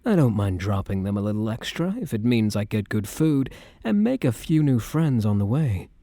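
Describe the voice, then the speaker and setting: Man acting as a mellow, low, teasing boyfriend. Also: no room sound. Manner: soft-spoken, teasing, wry, casual. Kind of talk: boyfriend ASMR roleplay, intimate scripted acting.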